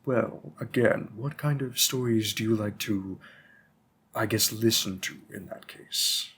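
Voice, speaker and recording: A man playing a long-lived, old-fashioned bookstore keeper in a roleplay audio drama, polite, rambling and gently formal as he addresses the listener. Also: on the low side, a little slow, breathy edge, heavily acted. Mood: earnest, coaxing.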